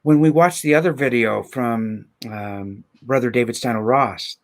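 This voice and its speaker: A man's voice: mid-range voice, a little slow. A man speaking reflectively and conversationally, with earnest emphasis and rhetorical questions, as a guest on a livestream.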